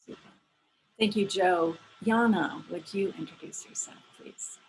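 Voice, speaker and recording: A woman moderating a webinar panel in an upbeat, brisk way over video call. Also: medium pitch, a little hiss or hum, poor audio.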